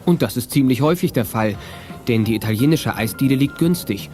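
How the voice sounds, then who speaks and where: A man's voice: mid-range voice, lively intonation, brisk, dark tone, faint music behind, natural voice. A man narrating a youth radio play as storyteller, in scripted prose.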